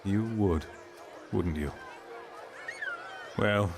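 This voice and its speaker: Deep, stern military commander. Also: male voice, drawn-out words, creaky, noisy background, full character voice. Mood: weary, reflective. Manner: commanding, formal, clipped. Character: stern, weary male military commander, gruffly wry. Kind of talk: scripted video game acting. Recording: game music behind the voice. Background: music.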